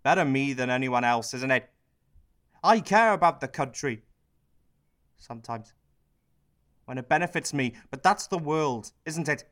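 A man's voice: mid-range voice, animated, unhurried, long pauses, a bit bright. A man playing a cynical, self-pitying prime minister in a satirical radio play, sardonic and bitter as he confides in the audience. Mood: bitter, self-justifying.

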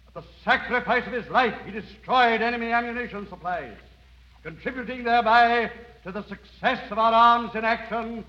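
An actor playing a stiff, formal military adjutant in a 1930s radio drama, declaiming like on a parade ground. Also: male voice, sits high, natural voice. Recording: dull 1930s radio broadcast recording.

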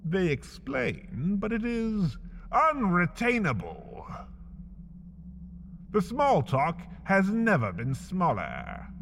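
A man reading a scripted comedic story in the second person, wry and slow, with big swings in his voice. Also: sits high, wildly swooping pitch, gravelly, fairly bright tone, big-room sound, slight background noise. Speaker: narrator.

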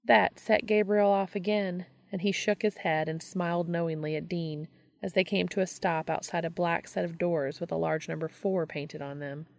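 A woman's voice: lowish voice, fairly even intonation, moderate pace, vocal fry, no room sound. A woman reading fiction aloud as a narrator, in scripted audiobook style. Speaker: reader of fan fiction audio episodes.

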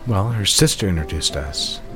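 Man acting a low-voiced, courteous, guarded husband, music behind. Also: expressive, normal speed, a bit bright. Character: devoted, guarded new husband with troubled past. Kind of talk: audio drama dialogue, period romance.

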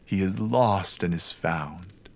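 A man doing a gentle grieving father. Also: sits low, little pitch movement, measured pace, breathy edge, heavily acted. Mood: tender. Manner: tender, pleading.

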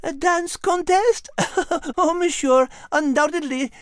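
An elegant, sassy cat in an audio comedy. The voice sits high, with a French accent and a dry studio sound. The delivery is teasing and politely exasperated, sprinkled with French interjections. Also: female voice, slow, no room sound. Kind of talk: comedic radio-drama character banter.

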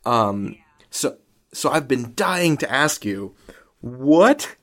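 A man's voice: mid-range voice, sing-song, extremely slow. A man hosting a podcast, asking questions in a conversational interview style.

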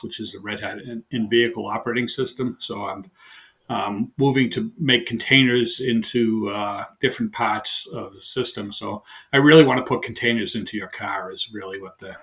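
A man with a heavy Boston accent, a guest engineer explaining his work in a casual interview, halting with many fillers and restarts. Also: fairly low pitch, creaky, fairly bright tone. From Boston area, USA.

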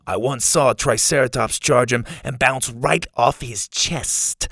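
Man voicing a gruff, easygoing camp counselor. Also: mid-range voice, measured pace, a bit bright, no echo, clean studio sound. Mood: admiring.

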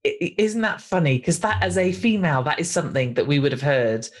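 A woman's voice: deep voice, animated, fast, slightly rough. Earnest woman hosting a podcast. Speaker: podcast host. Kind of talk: casual podcast interview.

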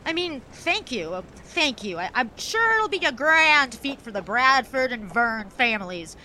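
A woman playing a very high-voiced, effervescent young socialite. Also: sing-song, sharp tone, a little hiss or hum, heavily acted. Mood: flustered, polite. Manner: breathless, flustered, genteel, wordy, halting. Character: effervescent upper-crust 1920s young socialite, wistful, playful. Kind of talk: period audio drama acting.

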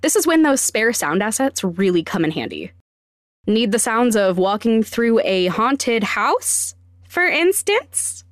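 A woman's voice: fairly high pitch, very animated, a little slow, no echo, clean studio sound. A woman hosting a podcast, giving scripted explanations with wry, self-deprecating asides. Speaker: podcast host and sound designer.

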